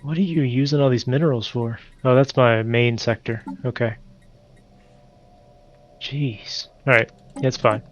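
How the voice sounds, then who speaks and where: A man's voice: mid-range voice, steady tone, unhurried, long pauses, highs cut off. Stuffed-up man muttering over a game. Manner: thinking aloud, muttering to himself. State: stuffed up from allergies. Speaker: game streamer. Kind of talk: casual multiplayer gameplay commentary.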